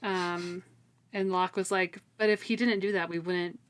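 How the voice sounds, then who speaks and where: Female co-host, slightly low register, informal book-club chat with emphatic, slangy phrasing. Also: fairly even intonation, unhurried, smooth. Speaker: podcast co-host. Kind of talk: casual book discussion chat.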